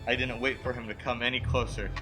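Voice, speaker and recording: A man's voice: mid-range voice, flat delivery, fast talker, music in the background, lightly acted. A young man acting a frightened, haunted motorist in an old-time suspense radio play, earnest and dramatic in delivery. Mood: haunted, brooding. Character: frightened, haunted young male motorist narrating his ordeal. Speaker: student actor.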